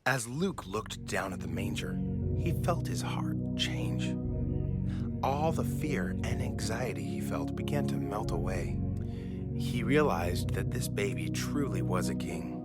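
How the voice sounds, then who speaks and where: A man's voice: fairly low pitch, animated, measured pace. A man narrating a scripted story in a dramatic, suspenseful way. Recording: loud music behind the voice.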